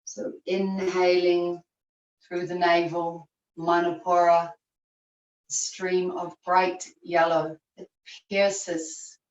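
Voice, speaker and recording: A woman teacher leading a guided yoga relaxation in measured short phrases with long pauses, recorded over a video call. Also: on the low side. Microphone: video call, at a distance. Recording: distant, echoey, muffled video-call recording.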